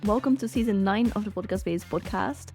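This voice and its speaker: A woman's voice: mid-range voice, brisk, over music. Upbeat woman hosting a podcast. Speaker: podcast host. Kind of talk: interview podcast.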